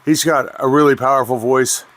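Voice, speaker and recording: A man's voice: mid-range voice, fairly even intonation, normal speed, a bit bright. A middle-aged man with a nasal voice, giving a plain, friendly product review.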